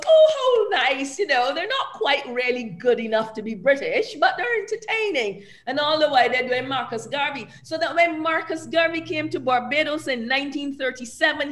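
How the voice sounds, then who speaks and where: A woman with a high voice joins a panel over a video call from an echoey room. She is an animated storyteller who drives her points home with emphasis and agrees warmly with the others. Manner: animated storyteller, affirming with frequent yeses. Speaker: panelist, folklore expert.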